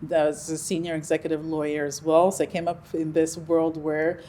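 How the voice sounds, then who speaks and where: A middle-aged woman from Nigeria, a Yoruba speaker, talking about her life in a relaxed, reflective interview, with frequent ums and restarts. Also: low voice, moderate pace, slight background noise. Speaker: interview guest. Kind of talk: conversational interview.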